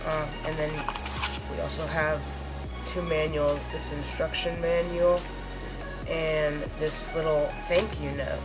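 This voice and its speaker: Hoarse low-voiced woman reviewing gadgets. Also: little pitch movement, loud music behind the voice. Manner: rambling, halting, many fillers. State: hoarse, under the weather. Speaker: tech reviewer. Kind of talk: casual unboxing review.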